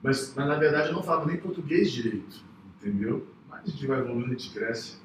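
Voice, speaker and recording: A man's voice: medium pitch, expressive, recorded from a distance, slight background noise. Earnest man in an echoey room. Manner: earnest, halting with restarts. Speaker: psychologist guest. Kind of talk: personal testimony in casual talk.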